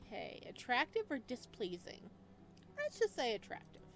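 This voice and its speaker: A woman doing a let's play, reading story text aloud and adding expressive, chatty reactions in a casual style. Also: on the high side, moderate pace. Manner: expressive, chatty asides between read passages.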